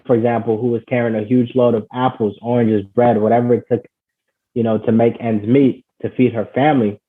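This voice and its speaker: A man from Ghana speaks earnestly as an interview guest, telling his story with frequent "you know"s and "basically"s. His voice sits at an ordinary middle pitch. The sound comes through a narrow, tinny call line. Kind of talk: conversational storytelling. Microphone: call audio. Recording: tinny phone-like call audio.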